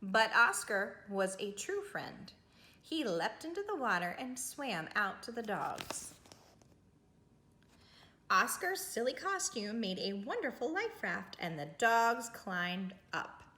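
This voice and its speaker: A woman's voice: medium pitch, big pitch swings, takes it slowly, pushed and bright. A woman reading a children's picture book aloud as a storytime host, with dramatic delivery and friendly asides to young listeners. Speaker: library storytime reader. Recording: roomy, echoing indoor recording.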